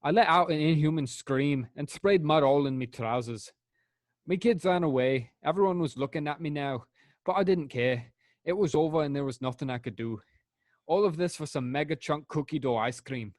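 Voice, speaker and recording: A man's voice: mid-range voice, no room sound, studio quality, close to an everyday voice. A man reading an angry review in a put-on Scottish accent as a crude, furious father, ranting and swearing. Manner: profane, dramatic ranting read.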